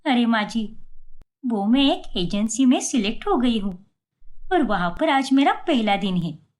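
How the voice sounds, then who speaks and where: A woman voicing an earnest young daughter-in-law in an animated family story, polite, pleading and protesting. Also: on the high side, unhurried. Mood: hopeful, polite.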